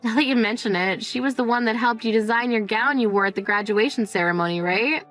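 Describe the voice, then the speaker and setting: A woman's voice: a little high, brisk, fairly bright tone, soft background music, close to an everyday voice. A woman playing a cold, sarcastic mother who tells her story in a smug, dismissive way. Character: cold, sarcastic middle-aged mother, smugly unsympathetic. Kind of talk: dramatized first-person story narration.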